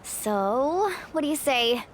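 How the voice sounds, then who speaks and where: A woman's voice: high-pitched, lively intonation, slow, a little hiss or hum, rough recording. A woman voicing a confident, upbeat, teasing young warrior in a video game. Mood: teasing, persuasive.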